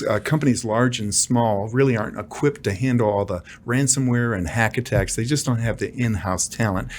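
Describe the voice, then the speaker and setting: A middle-aged man hosting a broadcast interview show, upbeat, asking quick questions with frequent fillers. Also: mid-range voice. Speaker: talk show host.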